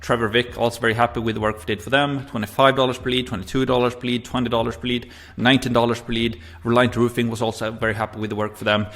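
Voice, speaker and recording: A man's voice: mid-range voice, little pitch movement, fast talker, hardly any pauses, fairly bright tone. A man from Sweden with a Swedish accent, teaching an online marketing course and often dropping "essentially" and "right?". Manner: frequent fillers, "essentially" and "right?". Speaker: course instructor. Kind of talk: recorded marketing lesson.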